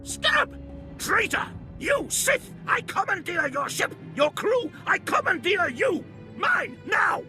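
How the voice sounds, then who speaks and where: An actor playing a deranged, tyrannical Imperial commander who rants bombastically and hysterically in a video game. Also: male voice, very high-pitched, sing-song, slow pace, sharp tone, shouting, faint music behind, exaggerated voice. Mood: frantic, defiant.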